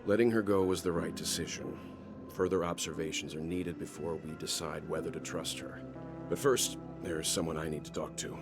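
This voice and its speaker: An actor playing a calm, thoughtful, dignified middle-aged traveller in a video game, speaking in a measured, reflective way. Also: male voice, low voice, over music. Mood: calm, pensive. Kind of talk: scripted video game dialogue.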